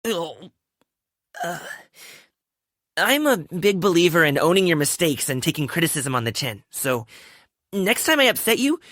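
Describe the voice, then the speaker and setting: A man's voice: on the high side, big pitch swings, moderate pace, pauses often, a bit bright, no room sound, studio quality. A man voicing an eager, good-natured young male wushu dancer and guard in a video game, talking in a friendly, slangy, boyish way. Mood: delighted. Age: young adult. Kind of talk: video game character voice lines.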